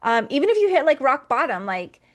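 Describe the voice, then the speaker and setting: A woman's voice: sits high, sing-song, fairly quick. A woman hosting a podcast interview, encouraging and upbeat as she asks questions. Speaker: podcast host. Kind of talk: casual interview talk.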